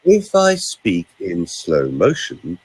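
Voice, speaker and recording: A man working as a vocal coach, demonstrating voice exercises on a podcast, swooping between high and low notes as he shows the sounds. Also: wildly swooping pitch, slow, darkish tone, breathy edge, rough recording.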